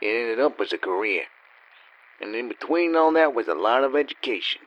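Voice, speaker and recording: A man's voice: mid-range voice, brisk, lightly acted. Man acting a wry hardboiled gambler, dull recording. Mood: wry, cynical. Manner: wry, world-weary, deadpan. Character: down-and-out cynical male gambler and con man. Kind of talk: hardboiled noir radio play narration. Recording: dull, slightly lo-fi.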